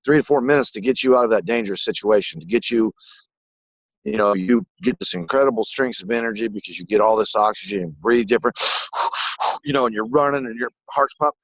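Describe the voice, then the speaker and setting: A man rambles excitedly through his thoughts, losing his thread and swearing casually as he goes. His voice sits on the low side, and the sound is dry and close with no room echo. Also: a little fast. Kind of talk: casual spiritual conversation.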